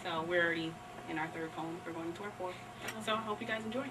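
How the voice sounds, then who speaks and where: A woman's voice: on the low side, quick pace, very noisy. Woman presenting poetry, recorded from afar. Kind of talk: dramatic poetry recital and informal audience discussion. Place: live event in a library room. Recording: distant live room recording.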